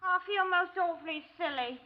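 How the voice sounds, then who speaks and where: A woman's voice: extremely high pitch, moderate pace. An actress playing a coy, fluttery, obedient female lab assistant in a 1950s radio drama. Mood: coy, embarrassed. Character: coy, giggly young female laboratory assistant. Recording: muffled 1950s radio recording.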